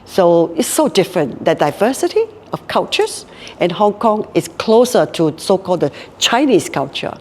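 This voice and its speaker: A woman's voice: fairly low pitch, big pitch swings, normal speed, in a large hall, a little hiss or hum. A Singaporean woman in her later years, speaking reflectively in an interview and often repeating words as she thinks. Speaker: guest, hotel group co-founder. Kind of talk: casual interview talk.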